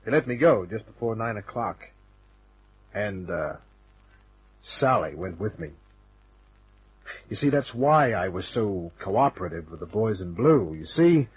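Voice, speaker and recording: A man's voice: on the low side, expressive, a little slow, long pauses, breathy edge, no room sound, full character voice. An actor playing a tough, wisecracking detective hero, telling his story in clipped, wry phrases in an old-time radio crime drama. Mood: wry, satisfied. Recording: dull, noisy vintage radio recording.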